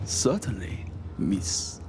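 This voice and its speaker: An actor playing a suspicious Japanese naval commander, coldly polite and formal, in an audio drama. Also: male voice, low voice, sing-song, full character voice. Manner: deliberate, formal, coolly threatening. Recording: music under the dialogue.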